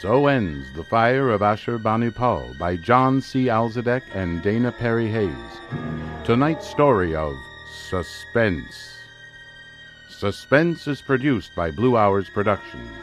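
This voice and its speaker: A man announcing a radio drama in a formal, measured, scripted style. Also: fairly low pitch, expressive. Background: music.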